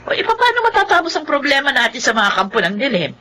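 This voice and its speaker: A woman playing an anxious, questioning village mother in a Tagalog horror radio drama, expressive and fretful. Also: sits high, fast talker, full character voice. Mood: worried, questioning.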